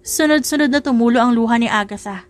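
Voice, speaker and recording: A woman's voice: on the high side, quick pace. A woman reading a scripted romance story as a dramatic audiobook narrator.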